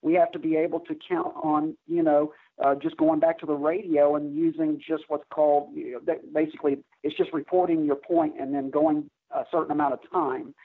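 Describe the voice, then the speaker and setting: Male guest, slightly high husky voice, halting technical explainer, tinny phone-like sound. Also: little pitch movement. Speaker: interview guest, air traffic controller. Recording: narrow, tinny phone-like line.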